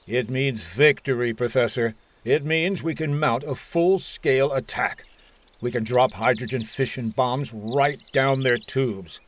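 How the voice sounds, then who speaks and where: A man's voice: mid-range voice, unhurried, close to an everyday voice. A man playing a belligerent army colonel in a science fiction radio drama, authoritative and used to giving clipped orders. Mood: belligerent, triumphant. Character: hawkish army colonel, commanding, suspicious and belligerent. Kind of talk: old-time radio science fiction acting.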